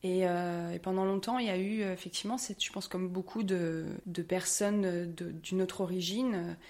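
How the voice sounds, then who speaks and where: Woman from France, Parisian accent, lowish voice, lively conversational testimony. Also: normal speed. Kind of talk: testimony interview.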